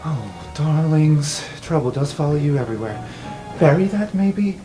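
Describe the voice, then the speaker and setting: A game master plays a weary figure of palace authority in a fantasy tabletop game, exasperated yet indulgent. The voice sits at a middling pitch and sighs theatrically, with faint music behind it. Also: expressive, measured pace, dark tone, close to an everyday voice, low-quality recording.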